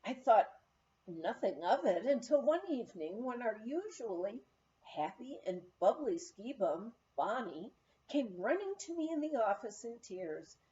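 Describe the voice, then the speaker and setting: A woman narrating a written memoir as an audiobook reader, with expressive storytelling. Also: a little high, unhurried, dull audio, a little hiss or hum.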